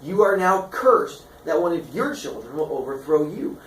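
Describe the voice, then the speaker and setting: A man's voice: on the high side, very animated, moderate pace, a bit bright. A man voicing a flustered, dramatic old father god in a comic myth story. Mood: dramatic, defiant. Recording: roomy, distant mic, faint noise.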